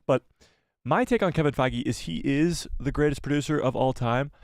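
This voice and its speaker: A man with a cold, losing his voice, hosting a casual live stream; chatty and excitable, he greets viewers and reads out the chat. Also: mid-range voice, moderate pace, no echo, studio quality.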